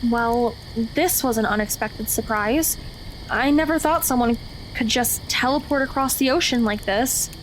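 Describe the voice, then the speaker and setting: A woman's voice: a little high, a little slow, a little hiss or hum, lightly acted, rough recording. A woman acting in an audio drama as an anxious, talkative teenage girl who questions everything and stammers when startled. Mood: curious.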